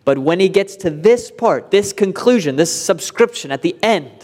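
A man preaching in a church, his fairly high voice carrying through a long, echoing reverb. He explains step by step and climbs to earnest emphasis when a point matters. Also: expressive, normal speed, darkish tone. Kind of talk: expository sermon.

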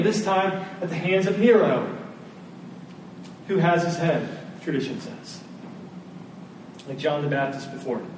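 Echoey, high-voiced man preaching a sermon. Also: darkish tone. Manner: conversational, rhetorical questions to listeners. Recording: very echoey room, distant mic, faint noise.

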